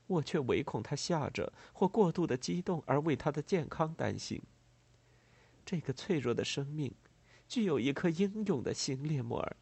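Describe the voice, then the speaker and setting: A man voicing a composed, proud, tender gentlewoman in a storybook, speaking in a measured, earnest and formal way. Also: a little high, slightly rough, no room sound, pitched above the performer's own voice, full character voice.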